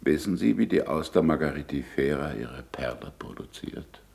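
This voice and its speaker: An actor playing a blunt, sardonic, impatient older scientist in a 1960s German radio drama, with emphatic theatrical delivery. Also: male voice, extremely low pitch, lively intonation, normal speed, vocal fry, darkish tone, exaggerated voice. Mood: gruff, didactic.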